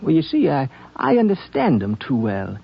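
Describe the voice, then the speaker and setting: An actor playing a gentle, earnest frontier wanderer in a 1950s radio drama, musing and folksy, with halting phrasing. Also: male voice, mid-range voice, huge pitch swings, creaky, slightly rough, full character voice. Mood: earnest, reflective. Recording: dull 1950s radio broadcast.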